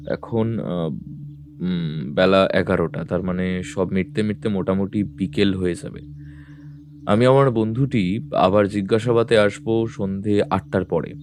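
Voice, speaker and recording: A composed private detective played by a male actor speaks in a fairly low voice. He is courteous, measured and authoritative, as in an audio mystery drama, with faint noise behind him. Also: a little mellow. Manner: measured, thoughtful, formal.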